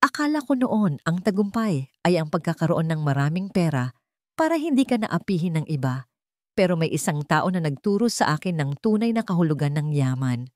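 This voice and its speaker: A woman's voice: sits low, sing-song, fairly quick, no echo. A woman narrator plays a humble young working man who has become an heir in an audio drama story. He speaks modestly and earnestly, recorded dry and close. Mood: earnest, moved. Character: humble young male porter turned company heir.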